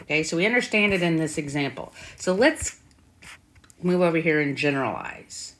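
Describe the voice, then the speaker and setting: Woman, low voice, math tutor, informal step-by-step explaining, thinking aloud, short check-in questions, slight background noise. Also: expressive, moderate pace, fairly bright tone. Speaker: math teacher. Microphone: screen-recording voice-over. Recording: faint background noise with clicks.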